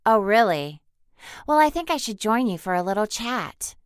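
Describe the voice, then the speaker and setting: A woman's voice: mid-range voice, sing-song, moderate pace, clean tone, no echo, clean studio sound, close to an everyday voice. A woman acting a sly, predatory alien beast disguised as a schoolgirl in an audio drama, mock-polite and threatening. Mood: mock-polite prying.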